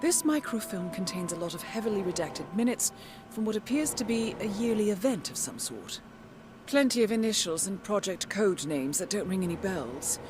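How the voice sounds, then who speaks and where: A woman's voice: fairly low pitch, lightly acted. Game mission handler, woman actor: composed, formal, articulate, melodic intonation, music underneath. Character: composed female intelligence handler briefing an assassin. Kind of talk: scripted game briefing narration.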